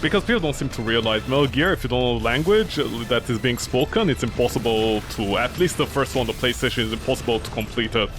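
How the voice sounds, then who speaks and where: A man streaming a game, talking casually in a rambling, swearing way, jumping from loud outbursts to low mumbles; English is not his first language. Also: lively intonation, quick pace, few pauses. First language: not English; grew up with French, Italian. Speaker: gaming streamer. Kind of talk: casual let's-play commentary. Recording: game music loud behind.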